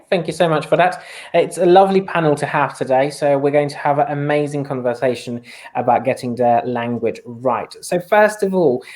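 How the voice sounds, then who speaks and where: A man's voice: mid-range voice, darkish tone. A man hosting a podcast panel over a video call, upbeat and a little stumbling. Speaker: podcast host.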